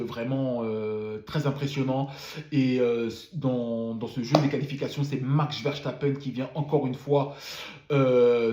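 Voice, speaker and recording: A man's voice: mid-range voice, a little mellow, reverberant. A man who has a cold gives a casual spoken debrief of a motor race, full of fillers and repeated words. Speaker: F1 commentator.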